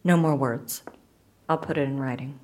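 Decisive, guarded female plotter for audio drama, deep-pitched for a woman. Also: moderate pace, darkish tone.

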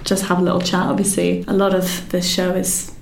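A woman's voice: on the low side, completely flat delivery, brisk, creaky. A woman on a podcast production team, chatting in an easygoing way in a casual meeting. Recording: echoey room, faint noise.

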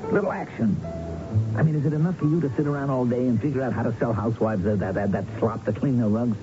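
An actor playing a brash, breezy thrill-seeker, needling and cajoling in a casual way in a radio drama. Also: male voice, mid-range voice, quick pace, lightly acted. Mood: needling, coaxing. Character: brash, breezy male thrill-seeker tempting an old college friend. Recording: dull old radio broadcast with music underneath.

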